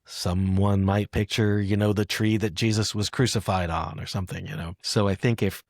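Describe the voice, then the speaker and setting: Low-pitched man hosting a poetry podcast, dry sound. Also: steady tone, normal speed, creaky, no room sound. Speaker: podcast host. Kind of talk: casual literary discussion.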